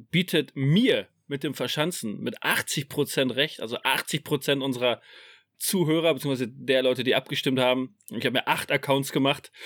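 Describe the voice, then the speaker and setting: A man's voice: medium pitch, a bit bright, dry recording. A man co-hosting a casual podcast, teasing the others and rambling with many fillers, recorded over an online call. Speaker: podcast co-host. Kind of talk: casual chat. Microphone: online call mic.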